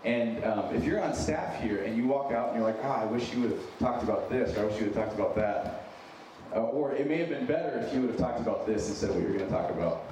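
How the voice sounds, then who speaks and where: A man's voice: mid-range voice, lively intonation, fast talker, a little mellow. Echoey, distant American man preaching informally. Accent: American with a slight Minnesotan touch. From United States, born in San Diego and raised in Wyoming. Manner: informal, self-deprecating, earnest, emphatic repetition. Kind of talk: conversational sermon to an audience. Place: large echoey room with an audience. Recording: very echoey noisy hall, distant mic.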